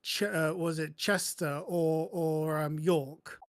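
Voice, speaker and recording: A man explaining things casually on a panel podcast, halting often and correcting himself mid-sentence. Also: on the high side, fairly even intonation, no room sound, studio quality.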